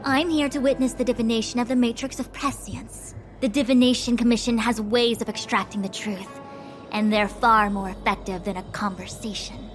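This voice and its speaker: Woman playing a high-voiced, imperious young master diviner. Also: fairly even intonation, moderate pace, faint music behind, close to an everyday voice. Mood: commanding. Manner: formal, imperious, crisp wording. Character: proud, stern young female master diviner in command. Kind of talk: dramatic video game voice acting.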